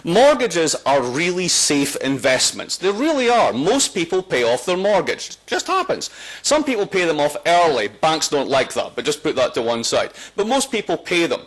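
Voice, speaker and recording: A man from Scotland with a Scottish accent, giving a public lecture with a wry, joking, lively delivery and a big voice. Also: fairly high pitch, moderate pace, rough recording. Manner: strong voice, walks away from the microphone. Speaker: guest lecturer.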